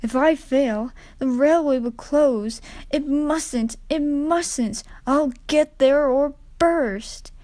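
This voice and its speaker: A woman's voice: high voice, takes it slowly, hardly any pauses, dark tone, dry recording, voice pushed up. A woman voicing a plucky, anxious little male steam engine in a children's storybook, with earnest, effortful exclamations. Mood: desperate determination.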